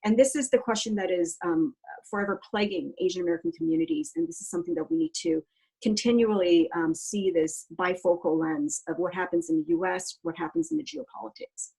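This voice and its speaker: A woman speaking as an academic panelist, explaining haltingly in an informal presentation and often leaning on "you know.". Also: fairly low pitch, big pitch swings, gravelly, mellow, husky, low-quality recording.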